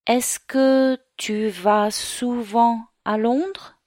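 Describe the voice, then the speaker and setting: A woman's voice: fairly high pitch, steady tone, darkish tone, no room sound, clean studio sound, voice pushed up. A woman reading as a friendly young Englishwoman. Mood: curious. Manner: slow, carefully articulated reading.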